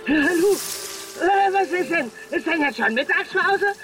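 An actor playing an excited, cheeky race commentator in a children's pirate radio play. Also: extremely high pitch, unhurried, full character voice, rough recording. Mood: excited, puzzled. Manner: exclamatory, dramatic, cheeky. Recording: music behind.

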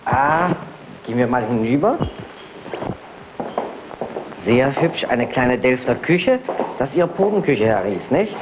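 A man's voice: mid-range voice, sing-song, slow pace, pauses often, background noise. Jovial male reporter on a dull 1932 recording. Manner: chatty, jovial. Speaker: radio reporter. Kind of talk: on-location radio report.

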